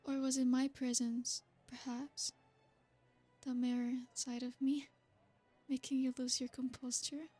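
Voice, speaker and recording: Female performer as an ancient noble vampire, seductive and possessive. High, even delivery, slow and formal. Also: faint music behind. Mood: predatory. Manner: soft, formal and flattering. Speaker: ASMR roleplay performer. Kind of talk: ASMR roleplay.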